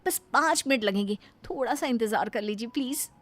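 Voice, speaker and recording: Polite, high-voiced young daughter-in-law, acted by a woman. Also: a little fast, a bit bright. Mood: polite, obliging. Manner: deferential, apologetic, pleading. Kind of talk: Hindi animated moral story acting.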